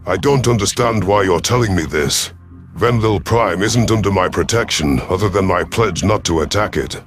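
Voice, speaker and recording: A man voicing a proud, vengeful elderly alien reptilian commander in an audio drama, speaking in a formal, measured, sardonic way. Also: deep voice, music in the background, lightly acted. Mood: sardonic, wary. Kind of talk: audio drama first-person narration and dialogue.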